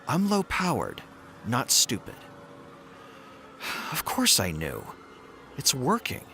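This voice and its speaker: A man's voice: medium pitch, wildly swooping pitch, slow pace, gravelly, bright, forceful tone, a little hiss or hum, full character voice. A man playing a weary, guilt-laden superhero mentor in an audio roleplay, with a wry and resigned manner. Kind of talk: dramatic audio roleplay acting.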